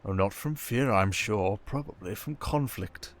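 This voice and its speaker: A man playing a suave, manipulative criminal mastermind in an intimate ASMR roleplay, with a coaxing, condescending, theatrical delivery full of drawn-out pauses. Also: fairly low pitch, close to an everyday voice. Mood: probing, smug. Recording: music behind the voice. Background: music.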